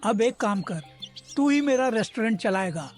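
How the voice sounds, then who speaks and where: A man voicing a dying old restaurant owner in an animated village story, trusting and earnest. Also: high-pitched, normal speed, faint music behind. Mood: failing, earnest. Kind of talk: Hindi animated moral story dubbing.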